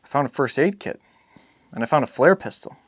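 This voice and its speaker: A man playing a weary, protective, gentle father in a dramatic audiobook reading, answering briefly, patiently and with some hesitation. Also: medium pitch, expressive, a little fast, husky, no echo, pitched above the performer's own voice.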